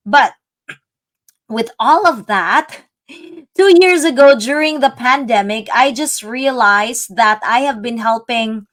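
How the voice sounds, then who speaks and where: A woman's voice: a little high, fairly bright tone. A middle-aged woman from the Philippines, a business coach, chatting earnestly on a live video call. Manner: chatty, earnest, laughs easily. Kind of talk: casual live-stream chat.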